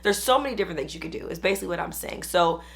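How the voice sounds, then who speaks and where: A hoarse woman business coach, chatting upbeat to camera. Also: on the low side, animated, very fast. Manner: chatty, upbeat, uses "y'all". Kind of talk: casual talk to camera.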